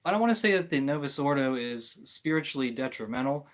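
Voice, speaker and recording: A man's voice: medium pitch, animated, fast talker. A man hosting a livestream, talking casually and off the cuff in a hesitant way, often rephrasing mid-sentence as he gives his opinions. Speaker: livestream host answering viewer comments. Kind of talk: casual, rambling opinion talk on religion.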